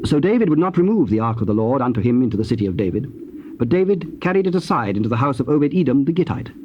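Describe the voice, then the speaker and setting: A man narrating dramatized scripture in a measured, formal storytelling manner. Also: medium pitch, sing-song. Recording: dull tape with faint noise.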